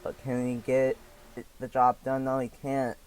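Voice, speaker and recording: A young man commentates a sim race lap by lap, his voice a little raspy from allergies. He talks in halting bursts full of fillers and restarts, over a faint hum of ambience. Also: medium pitch, monotone, darkish tone, poor audio. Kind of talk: play-by-play sim racing commentary.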